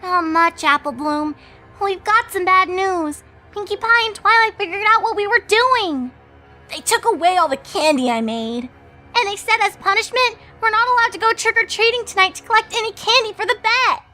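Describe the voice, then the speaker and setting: A woman voicing young fillies, girlish and bright, nervous and wary. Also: very high-pitched, moderate pace, faint music behind, voice pushed up.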